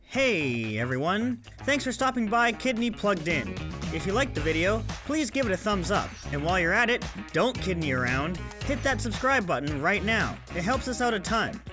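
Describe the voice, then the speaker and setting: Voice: medium pitch, big pitch swings, moderate pace, over music. A show host giving an upbeat, chirpy sign-off straight to viewers. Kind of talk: upbeat video outro, addressing viewers.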